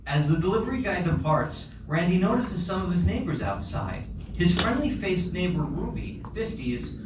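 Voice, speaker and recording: Male narrator for screenplay stage directions: slightly high voice, read live, off-mic in a noisy room. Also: sing-song, moderate pace. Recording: echoey room, distant mic, clear background noise.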